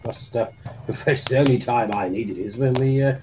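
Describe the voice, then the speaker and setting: A man's voice: mid-range voice, normal speed, darkish tone, a little hiss or hum, lightly acted. Man playing a blustering posh English colonel. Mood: bumbling, amorous. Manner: blustering, stammering, chuckling old-fashioned upper-class turns of phrase. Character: posh, bumbling, jolly old-school British army colonel. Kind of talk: comic audio drama acting.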